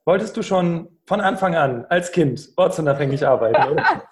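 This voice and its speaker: A man's voice: fairly high pitch, animated, moderate pace, crowd noise. A male podcast host who interviews a guest in a friendly way, with fillers and restarts.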